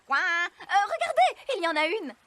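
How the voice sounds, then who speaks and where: A woman's voice: very high voice, sing-song, normal speed, a bit bright, no room sound, full character voice. A woman voicing a blunt, sarcastic fairy nanny in a French children's cartoon. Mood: cheeky, mocking. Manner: sarcastic, exclamatory.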